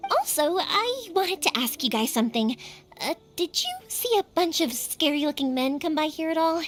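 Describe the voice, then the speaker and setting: A woman voicing a spirited, caring young woman in a video game, expressive and earnest, with music behind her. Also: high voice, moderate pace. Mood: bright, friendly. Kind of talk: English video game voice acting.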